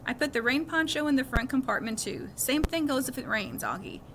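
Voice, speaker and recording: A woman's voice: high voice, expressive, a little fast. A woman voicing a caring, reassuring mother who coaxes and instructs her young son in a storybook reading, with noise behind her. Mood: instructing. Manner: coaxing, instructing. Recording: clearly noisy background.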